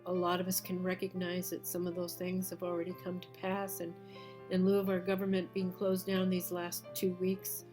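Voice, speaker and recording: A woman's voice: fairly low pitch, monotone, moderate pace, music in the background. A woman with a cold who is losing her voice, giving a hesitant, casual video intro with many fillers. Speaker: video blogger.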